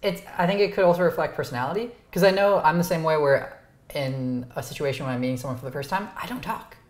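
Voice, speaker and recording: A woman's voice: sits low, quick pace, reverberant, a little hiss or hum. A woman whose first language was Romanian, hosting a casual dinner conversation at home, thoughtful and self-deprecating. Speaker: host. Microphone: lapel mic.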